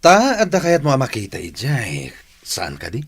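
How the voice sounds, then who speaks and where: A man plays a suspicious, scolding village husband with an Ilocano accent. His mid-pitched voice rises and falls in animated swings, as radio comedy drama calls for. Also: normal speed, husky, full character voice. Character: jealous, grumbling, stingy village husband.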